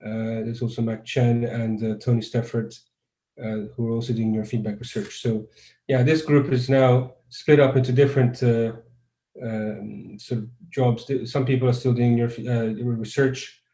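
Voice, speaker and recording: A man from Croatia talks through a video call in a level, mellow voice that hardly rises or falls. He is a guest researcher who answers thoughtfully and often restarts his phrases. The sound carries a noticeable room echo. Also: medium pitch, level tone, normal speed. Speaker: guest neuroscientist. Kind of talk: conversational interview answers.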